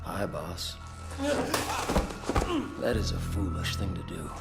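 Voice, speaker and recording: A low-voiced, brooding male antihero from a video game. He speaks tersely and gravely, with an ominous, embittered resolve, and music plays prominently behind him. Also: fairly even intonation, slow pace, exaggerated voice. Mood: cold, menacing. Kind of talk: cinematic game cutscene acting and grave narration.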